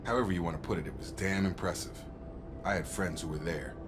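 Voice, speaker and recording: A man playing an earnest, loyal soldier in a video game, speaking in a direct, informal and steady way. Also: low voice, quick pace, music in the background, natural voice. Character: earnest, loyal male soldier, plain-spoken and conscientious. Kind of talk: video game cutscene acting.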